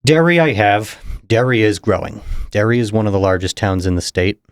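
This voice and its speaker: A young man from New Hampshire, a political candidate, explaining things in a measured, casual interview manner. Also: lowish voice, smooth, no room sound, studio quality. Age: young adult. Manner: measured, explanatory, chuckles between points.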